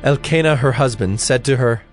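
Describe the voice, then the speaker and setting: A man's voice: medium pitch, moderate pace, soft background music. A man narrating scripture from a script in a solemn style.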